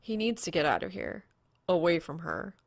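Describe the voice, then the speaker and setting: A woman's voice: lowish voice, big pitch swings, moderate pace, vocal fry, no room sound. A woman reading fan fiction aloud as a scripted story narrator. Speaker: podfic narrator.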